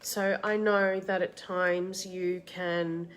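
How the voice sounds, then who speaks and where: Woman with a cold, gentle card reader. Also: fairly low pitch, little pitch movement, takes it slowly, soft background music. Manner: soft, reassuring, greets viewers by name. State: a bit of a cold, sniffly with a tickly throat. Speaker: card reader and meditation host. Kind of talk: live-stream card reading with gentle advice. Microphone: live-stream setup.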